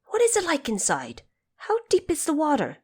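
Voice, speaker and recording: Girl doing a curious lil monkey from a storybook, high pitched, eager n playful, really bouncy pitch. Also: huge pitch swings, moderate pace. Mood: inquisitive. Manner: eager questioning and exclaiming. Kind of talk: audio drama storybook character voice.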